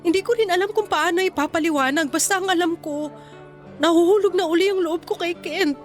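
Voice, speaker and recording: A woman's voice: very high-pitched, fairly quick, soft background music. An actress with a Filipino accent playing a proud, self-possessed young mother and ex-wife in a radio romance drama. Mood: sincere, apologetic.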